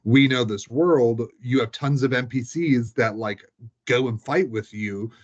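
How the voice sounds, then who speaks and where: Man, medium pitch, casual storytelling chat, rambling, filler-heavy, muffled lo-fi sound. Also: measured pace. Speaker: tabletop game master. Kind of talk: casual chat, recounting game sessions. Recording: dull, lo-fi sound.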